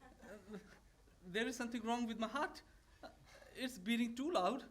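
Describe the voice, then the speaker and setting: Guy doing a fussy frail suitor in a farce, whining about himself, halting and querulous. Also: very high-pitched. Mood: querulous, ailing. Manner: querulous, self-pitying, halting. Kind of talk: stage farce read as audio drama.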